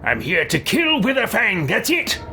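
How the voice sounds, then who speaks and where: A man's voice: high-pitched, wildly swooping pitch, measured pace, noisy background, pitched above the performer's own voice, full character voice. A man voicing an arrogant, bitter, scheming wizard in a video game roleplay, with an imperious, declamatory delivery. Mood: indignant. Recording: music behind the voice. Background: game music.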